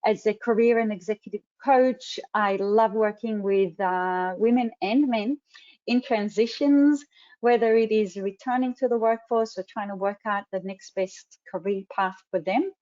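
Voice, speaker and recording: Israeli-accented woman in her forties, webinar host, measured delivery with fillers, slightly dull call audio. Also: medium pitch, darkish tone. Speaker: webinar host and career coach. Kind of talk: webinar introduction. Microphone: computer mic on a video call.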